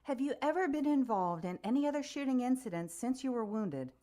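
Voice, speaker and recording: A composed female reporter played by an actress fronts a news special in a radio play. Her delivery is formal and measured, with a probing, scripted interviewer's manner. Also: high-pitched, expressive, dark tone.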